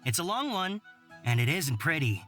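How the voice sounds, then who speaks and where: Playful, curious young fantasy storyteller. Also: male voice, fairly high pitch, big pitch swings, normal speed, bright, forceful tone, faint music behind. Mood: storytelling. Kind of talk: visual novel character acting.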